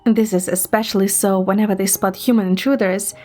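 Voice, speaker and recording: A woman's voice: medium pitch, a little fast, soft background music, close to an everyday voice. A woman acting a playful, chatty, curious young woman who teases her friend, in an amateur audio drama. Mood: excited, curious. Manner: teasing, animated, expressive.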